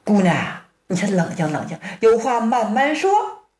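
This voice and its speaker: A woman voicing a calm, righteous young male hero who speaks in a measured, reasoning way. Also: mid-range voice. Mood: persuading. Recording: roomy echo.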